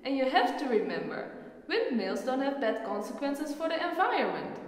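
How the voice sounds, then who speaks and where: A woman's voice: high voice, sing-song, moderate pace. A woman playing a knowledgeable, earnest friend who patiently explains things in a scripted educational film dialogue. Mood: encouraging, instructive. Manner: explanatory, instructive. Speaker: character in a student educational film. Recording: very echoey hall-like reverberant room.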